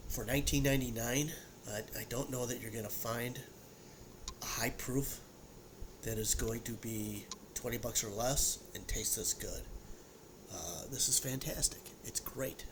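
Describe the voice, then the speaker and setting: A man's voice: medium pitch, background noise. A man in his sixties with a congested, sniffly voice, chatting loosely at home about whiskey with lots of fillers and repeated words. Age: 60s. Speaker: whiskey review vlogger. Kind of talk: casual solo tasting chat.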